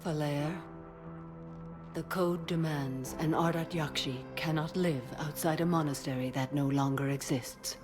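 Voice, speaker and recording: An actress playing a stern, ageless alien warrior-nun and mother in a video game cutscene, speaking in a solemn, measured, formal way. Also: low voice, fairly even intonation, over music. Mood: grave. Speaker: justicar. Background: orchestral score.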